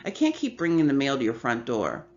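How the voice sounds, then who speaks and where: A woman's voice: very deep voice, wildly swooping pitch, brisk, full character voice. A woman voicing an impatient male mailman who talks in clipped phrases. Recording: slightly muffled with faint hum.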